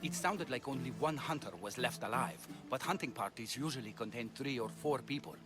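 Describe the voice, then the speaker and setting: An actor playing a worried healer of ancient Greece, urgent and earnest, giving a quest in a video game. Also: male voice, mid-range voice, brisk, lightly acted. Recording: music behind the dialogue.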